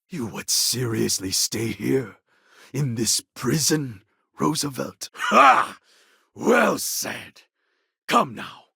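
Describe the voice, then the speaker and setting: An actor playing a proud, warlike clone of a Mongol conqueror in a video game, with a gravelly, husky voice and a deliberate, grandly formal delivery. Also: male voice, on the low side, sharp tone, dry recording, studio quality, full character voice. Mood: stern, resolute, then exultant. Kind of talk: video game character acting.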